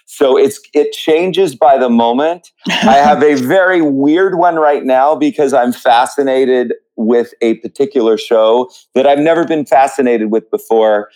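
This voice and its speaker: A man answering as an interview guest, casual and reflective, thinking aloud in halting phrases. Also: medium pitch, darkish tone, rough recording. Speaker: podcast guest, writer.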